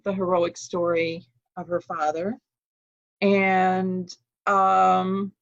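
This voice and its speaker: An American woman telling a family story, her pace slow and unhurried. She often pauses on ums and uhs as she thinks. The sound is dull and lo-fi, as if from a video call. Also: medium pitch. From United States. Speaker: guest speaker. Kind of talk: personal storytelling talk.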